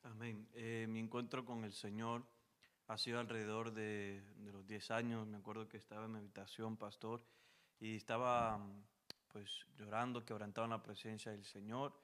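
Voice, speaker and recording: A man's voice: fairly low pitch, flat delivery, moderate pace, a bit bright. A young man, a guest on a church program, speaking earnestly with frequent amens and hesitations. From Honduras. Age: young adult.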